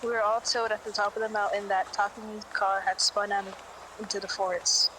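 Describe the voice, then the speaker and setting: Casting: actress as impatient, sardonic woman witness for indie drama, curt and even-toned, mid pitch, noisy recording. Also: a little fast, lightly acted. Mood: impatient, uneasy. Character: brusque, impatient woman witness recalling a street-race disappearance. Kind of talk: amateur audio drama acting.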